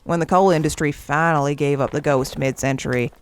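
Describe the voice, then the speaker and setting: A woman's voice: low-pitched, steady tone, a little fast, a little hiss or hum, close to an everyday voice. An actress playing an old female camp warden in an audio drama, with a wry, folksy, teasing way of talking. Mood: folksy, reminiscing. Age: older.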